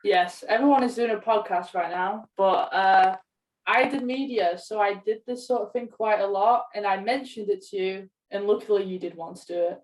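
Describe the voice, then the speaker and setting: A woman's voice: medium pitch, normal speed, recorded from a distance, rough recording. A young woman co-hosting a podcast, chatting casually and explaining things in a plain-spoken way. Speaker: podcast co-host. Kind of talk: casual chat.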